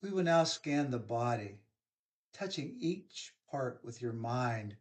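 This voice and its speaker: A man leading a guided yoga nidra relaxation, giving soothing, unhurried instructions with pauses. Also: mid-range voice, lively intonation.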